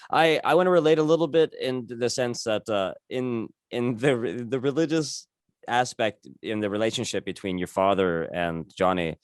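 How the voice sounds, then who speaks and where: A man's voice: lowish voice, clean tone, no room sound, studio quality. A man in his thirties hosting a casual interview, rambling and correcting himself as he talks. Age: 30s. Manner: rambling, self-correcting, chuckles while talking. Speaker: podcast host.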